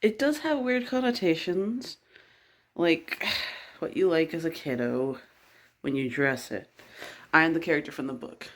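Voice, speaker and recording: A man's voice: a little high, a little slow, pauses often, fairly bright tone. A man chatting casually to his vlog in a rambling, blunt way that slips into swearing. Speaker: vlogger. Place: bedroom at home. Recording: noisy home recording with clicks.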